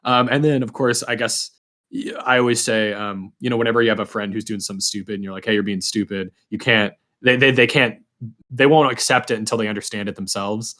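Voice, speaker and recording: A man hosting a casual interview podcast, speaking informally and haltingly, often repeating his words. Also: lowish voice, steady tone, sharp tone. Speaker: podcast host.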